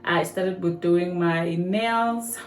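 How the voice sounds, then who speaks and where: A woman's voice: lowish voice, takes it slowly. Chatty woman vlogging in an echoey bathroom. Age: 30s. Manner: chatty, addresses viewers as "guys", slangy "yo". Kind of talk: casual vlog chat. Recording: echoey bathroom, faint music behind.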